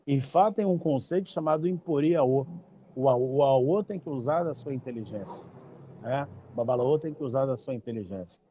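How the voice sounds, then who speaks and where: A man's voice: mid-range voice, normal speed, mellow, a little hiss or hum. A Brazilian man in his fifties, a babalawo explaining his tradition in casual talk, emphatic and repeating phrases for stress. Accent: Brazilian Portuguese. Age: 50s. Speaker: babalawo teacher.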